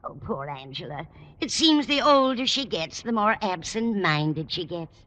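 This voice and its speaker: A chatty, well-meaning but scatterbrained landlady in 1950s radio comedy style. Her voice is bright and bounces up and down as she talks. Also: mid-range voice, expressive, measured pace. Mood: fond, chatty. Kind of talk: 1950s radio sitcom acting.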